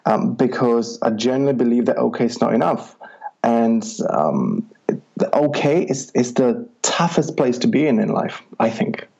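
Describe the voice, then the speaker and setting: A man's voice: medium pitch, creaky, a little mellow, husky. A man from the Republic, a life coach talking as a podcast guest over an online call, hesitant with many ums. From Czech Republic. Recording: dull lo-fi online call audio.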